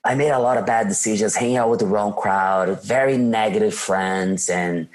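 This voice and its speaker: A man's voice: lowish voice, normal speed. A man from Brazil with a Brazilian Portuguese accent, a guest telling his life story in an interview and acting out old conversations. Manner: animated, acts out his own past dialogue.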